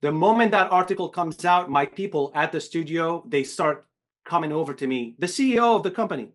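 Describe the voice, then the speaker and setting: Emphatic middle-aged man, fairly high voice. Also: lively intonation, a little fast. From Syria. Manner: emphatic, repetitive restarts, swears. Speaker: animation director, podcast guest. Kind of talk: candid interview talk.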